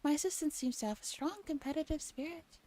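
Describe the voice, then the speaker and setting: Woman acting pompous young man, bossy, grandiose, mellow tone, visual novel protagonist. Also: high voice, quick pace, voice pushed up, close to an everyday voice. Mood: defensive, smug. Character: pompous, theatrical young man, self-styled lab boss.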